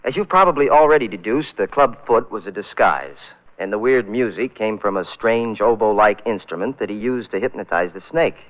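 A man's voice: lowish voice, normal speed, hardly any pauses, natural voice. An actor playing a chatty condemned convict in a 1940s radio drama, telling his story in a folksy, musing way. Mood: wry, reflective. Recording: tinny, narrow-band old radio recording.

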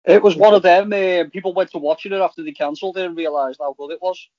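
A man in casual, halting podcast chat about TV, rambling as a co-host. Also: sits high, fairly even intonation, a little mellow, no echo. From Liverpool, England. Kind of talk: casual chat reviewing TV shows. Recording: slightly dull, lo-fi audio.

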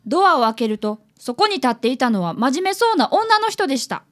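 A woman's voice: high voice, very animated, fairly quick, fairly bright tone. A woman voicing an earnest fifth-grade boy apprentice detective who also narrates the radio drama in a polite, eager way. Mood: narrating.

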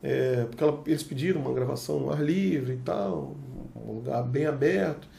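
A chef from Espírito Santo with a Brazilian Portuguese accent from that state, telling a story as a podcast guest and acting out the dialogue. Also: male voice, mid-range voice, moderate pace, vocal fry, slight background noise. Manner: lively storyteller who acts out the dialogue. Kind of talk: casual anecdote telling.

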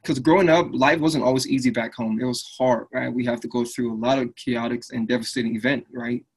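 A man's voice: mid-range voice, steady tone, fairly quick, breathy edge. A man from Liberia, speaking earnestly and upbeat as an interview guest, often tagging lines with "right?". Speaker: fitness business owner, guest. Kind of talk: casual interview conversation.

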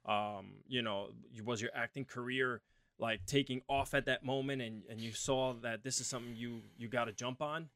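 A man from Puerto Rico co-hosting a casual chat, speaking in an earnest, animated way. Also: mid-range voice, moderate pace, no room sound, clean studio sound. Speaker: podcast co-host.

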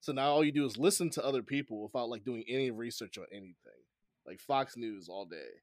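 A man co-hosting a casual podcast chat, expressive and rambling, laughing often. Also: mid-range voice, fast talker, no room sound, studio quality. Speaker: podcast co-host.

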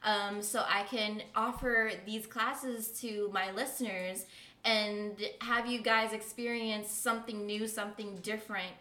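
A woman's voice: medium pitch, steady tone, a little slow, pushed and bright. A woman hosting a podcast, talking casually and supportively with plenty of likes and you knows. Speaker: podcast host. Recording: echoey room.

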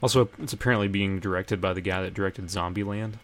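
A man's voice: deep voice, fairly even intonation, fast. A man co-hosting a podcast, chatting casually about pop culture. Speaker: podcast co-host.

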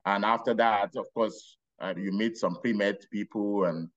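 Low-voiced Nigerian-accented man. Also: fairly even intonation, lo-fi sound. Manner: unhurried, rambling with restarts and laughs. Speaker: podcast guest, physician. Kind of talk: casual interview storytelling.

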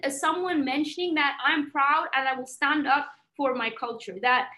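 Passionate high-voiced woman. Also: bright, forceful tone. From England. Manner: passionate, emphatic, with many fillers. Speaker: podcast guest. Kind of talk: earnest podcast conversation.

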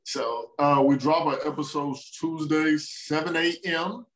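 A man's voice: fairly high pitch, steady tone, a bit bright, rough recording. A man whose first language is Spanish, joking around as a podcast guest and teasing his hosts. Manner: teasing, playful, quick comic asides.

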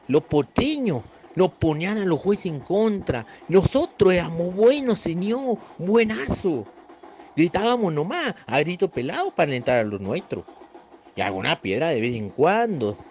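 A man voicing an aging, bitter male football fan with a northern Argentine accent, reading a short story aloud and calling the listener "señor". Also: on the high side. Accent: northern Argentine (put on).

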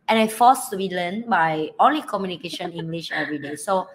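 A woman from Vietnam, co-hosting a podcast in casual chat, animated, with phrasing and easy laughter. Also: fairly low pitch, a little fast. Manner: animated, broken English phrasing, laughs easily. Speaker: podcast co-host.

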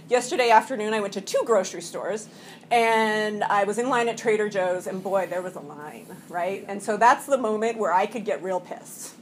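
A woman around forty talks to a support group in a meeting room, a little sniffly from a cold. Her speech is halting and full of fillers and restarts, broken up by frequent laughs. Faint room noise sits under her voice. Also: mid-range voice. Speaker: recovery meeting speaker. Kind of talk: personal share at a support meeting.

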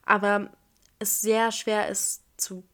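A woman co-hosting a podcast, posing questions about longing and belonging in a lively, drawn-out way. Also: mid-range voice. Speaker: podcast co-host. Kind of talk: casual podcast discussion.

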